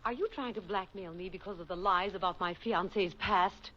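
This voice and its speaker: An actress playing a haughty, wealthy bride-to-be who speaks in a cold, clipped way, in a 1940s radio drama. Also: female voice, medium pitch, normal speed, a bit bright, natural voice. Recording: dull old radio recording, faint hiss.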